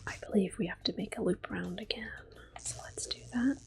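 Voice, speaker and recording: A woman giving hushed, gentle, soft-spoken ASMR commentary as she plays a video game. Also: mid-range voice, brisk, gravelly. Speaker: ASMR gaming host. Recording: soft music in the background. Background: quiet game music.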